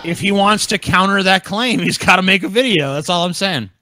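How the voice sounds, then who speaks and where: A man's voice: high voice, fairly quick, a crowd in the background. A man co-hosting a podcast in casual, joking chat, swearing crudely and making mock boasts. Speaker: podcast co-host.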